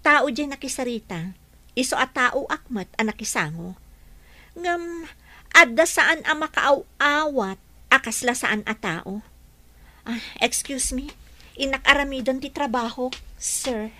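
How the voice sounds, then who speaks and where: Brief: actress as a polite, defensive staffer, bright high voice, dry sound. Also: female voice, measured pace, pauses often, no room sound. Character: young female employee, defensive and proper. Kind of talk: radio drama acting.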